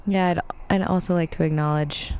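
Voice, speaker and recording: A woman chatting as a podcast guest in a slightly raspy, sniffly, congested voice, speaking reflectively with pauses. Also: low voice, fairly even intonation, a little mellow. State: sniffly with allergies. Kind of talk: casual podcast conversation.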